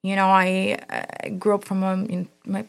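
A woman's voice: medium pitch, completely flat delivery, normal speed, gravelly. A woman from Michigan, a guest in a casual interview, speaking hesitantly and reflectively with many ums and restarts. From Dearborn, Michigan.

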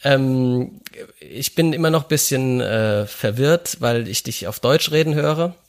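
A man's voice: mid-range voice, a little slow. A man hosting a podcast in a casual chat, hesitant and using many filler sounds. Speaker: podcast host.

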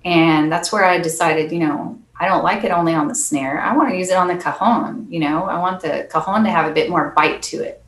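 A soft-spoken woman, a percussionist guest, chatting earnestly and laughing often in an interview. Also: on the low side, fairly quick, few pauses, reverberant, slight background noise. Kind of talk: casual interview chat.

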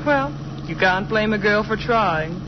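An American actress playing a sly, flirtatious, hard-edged gangster's widow in a 1940s radio drama, coy and mocking. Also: female voice, medium pitch, unhurried, lightly acted. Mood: coy, coaxing. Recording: muffled old radio recording, steady noise.

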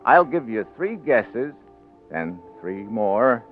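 Through a tinny, narrow old radio recording with some music, a rough American political man in a 1940s radio drama. His medium-pitched voice swings up and down expressively. He talks in a gruff, colloquial way, awkward and hesitant as a husband. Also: big pitch swings, slow. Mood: folksy storytelling. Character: rough, plain-spoken crooked politician, awkward bashful husband.

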